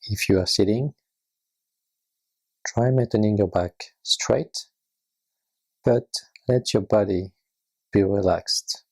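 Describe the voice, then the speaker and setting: Low creaky voice doing a guided meditation, gentle and soothing, breathy. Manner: gentle, soothing, unhurried instruction.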